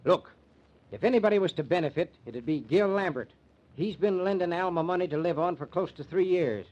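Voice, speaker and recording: Actor as a sly, drawling American small-town sheriff. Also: male voice, high-pitched, a little fast, darkish tone, natural voice. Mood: defensive, flustered. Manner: drawling rustic speech. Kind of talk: old-time radio drama acting. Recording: muffled, noisy old radio recording.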